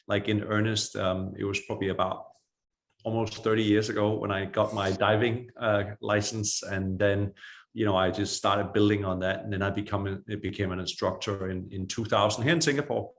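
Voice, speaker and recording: A man's voice: lowish voice, fairly even intonation, brisk. A middle-aged man from Denmark introducing himself casually, with hesitant restarts. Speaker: guest speaker, diving instructor.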